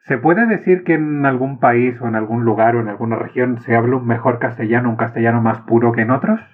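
A man from Chile with a Chilean accent, hosting a casual conversation and asking curious, relaxed questions. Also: mid-range voice, fairly quick, slightly rough. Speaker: podcast host. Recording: tinny phone-like line.

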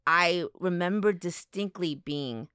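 Woman, born in Korea, podcast co-host, animated storyteller, smooth voice, dry close sound. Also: fairly low pitch, slow, no room sound. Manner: animated storyteller, acts out quotes. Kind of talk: casual chat.

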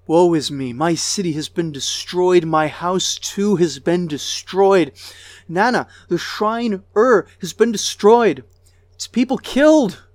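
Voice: medium pitch, no room sound, lightly acted. A reader voicing a wailing, grieving goddess-queen. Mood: mournful. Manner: wailing, lamenting, voice breaking with sobs. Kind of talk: dramatic recitation of an ancient lament.

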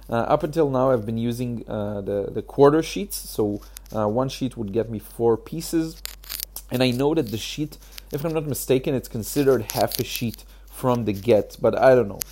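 A man with a hoarse, achy throat, hosting a casual solo podcast, talking haltingly with many fillers. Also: mid-range voice, mellow. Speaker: podcast host.

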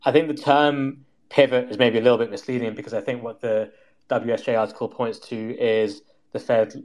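A man with a medium-pitched voice talks through market analysis casually. He explains step by step in a conversational style, often qualifying what he says and searching for his wording. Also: steady tone, fairly quick, darkish tone. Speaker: market commentator guest.

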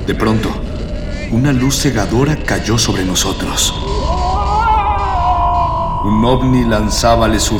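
Male actor, grandiose mock-epic narrator, mid-pitched, echoey, florid dramatic delivery, loud music underneath. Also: natural voice. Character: grandiose storyteller, the male hero's loyal friend. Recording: echoey long reverb, loud music behind.

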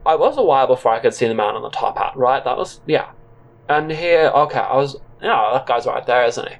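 Male narrator for comic audio fiction, average pitch, awkward and digressive, talking as if thinking aloud. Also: fairly quick. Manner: rambling, awkward, conversational. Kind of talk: comic first-person scripted narration.

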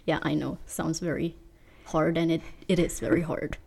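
Mellow-voiced Danish woman in her twenties. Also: deep voice, flat delivery, moderate pace, creaky, breathy edge. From Denmark. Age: 20s. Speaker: podcast co-host. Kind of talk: casual chat.

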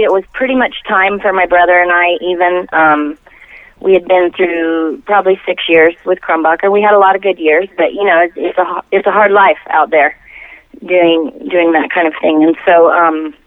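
A woman's voice: fairly low pitch, no room sound. A middle-aged woman chatting casually and reflectively as an interview guest, in an easygoing conversational way. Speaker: podcast guest, musician. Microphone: phone call. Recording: thin, narrow telephone line.

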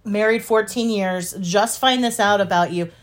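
A woman's voice: medium pitch, moderate pace. A woman co-hosting a podcast with casual, teasing banter. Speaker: podcast co-host.

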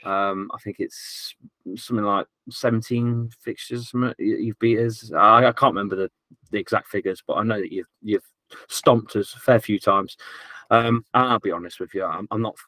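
A man's voice: lowish voice, steady tone, normal speed, sharp tone, no echo, rough recording. A man talking as a guest on a football podcast, rueful and self-deprecating, laughing often over a remote call. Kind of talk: casual football podcast chat.

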